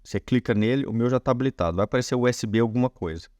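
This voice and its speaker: A man's voice: mid-range voice, rapid-fire, darkish tone, no echo, lo-fi sound. A man with a Brazilian accent hosting a casual step-by-step tech tutorial, explaining informally and speaking directly to viewers.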